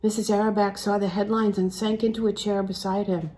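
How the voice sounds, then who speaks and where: A woman's voice: mid-range voice, little pitch movement, fairly quick, mellow, poor audio. A woman reading a novel aloud as scripted narration. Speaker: audiobook reader.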